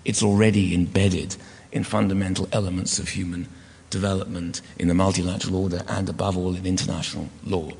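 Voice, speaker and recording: Hoarse, low-voiced male lecturer, formal and measured, recorded in a lecture hall with long reverb. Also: level tone, a little mellow. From United Kingdom. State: hoarse, croaky voice. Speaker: guest lecturer. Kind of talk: academic lecture.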